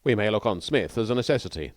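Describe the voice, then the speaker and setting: A man's voice: on the low side, animated, quick pace, no echo, clean studio sound, natural voice. A man voicing a melancholy young New York newspaperman who talks in a wordy, dryly ironic way. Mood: wry advising.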